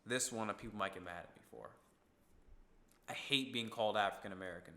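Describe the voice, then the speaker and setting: A man's voice: lowish voice, fairly quick, bright, forceful tone, reverberant. A man from Ithaca, New York, co-hosting a casual discussion podcast, talking emphatically and swearing freely when worked up. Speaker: podcast co-host.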